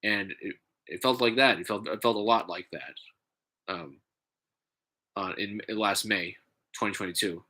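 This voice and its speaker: A man's voice: fairly low pitch, pushed and bright, slightly rough. Congested man rambling on a vlog. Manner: halting, many fillers and restarts. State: congested, stuffy nose. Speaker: vlogger.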